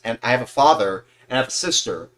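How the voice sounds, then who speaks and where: A man in his mid-twenties talks casually on a livestream. His pitch is middling but swings around a lot. He rambles earnestly, circling back to rephrase what he just said. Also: expressive, quick pace, fairly bright tone, rough recording. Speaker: livestream host.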